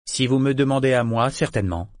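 A blunt, proud and somewhat bitter male sailor in an audiobook, voiced by a male narrator. His pitch is medium. The sound is very dry. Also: a little fast, no room sound, lightly acted. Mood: matter-of-fact. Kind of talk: audiobook character dialogue.

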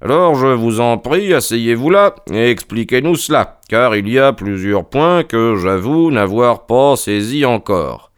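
A man voicing a sharp, confident detective in a brisk, authoritative, emphatic way. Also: mid-range voice, expressive, clear, resonant tone, dry recording, clean studio sound, voice pushed up, close to an everyday voice. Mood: courteous, curious. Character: sharp, commanding male detective, confident and urgent.

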